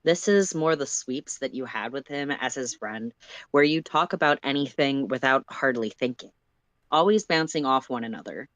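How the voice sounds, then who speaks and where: Story narrator, mid-range voice, expressive read-aloud delivery, dry sound. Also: mid-range voice, normal speed, no room sound. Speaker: story reader. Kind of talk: expressive fan fiction narration.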